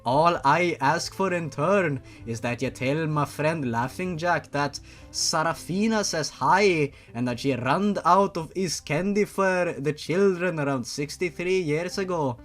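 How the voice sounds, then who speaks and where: A man's voice: a little high, a little slow, soft background music, lightly acted. A man voicing an ageless witchy shopkeeper woman with folksy, archaic phrasing and a mock Scottish or Irish accent, in a comic story reading. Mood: folksy, bargaining.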